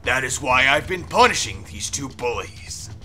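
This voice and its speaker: A man voicing a smug, menacing high-school bully in an anime fandub, with mock politeness. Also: big pitch swings, moderate pace, pushed and bright, soft background music. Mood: smug threatening.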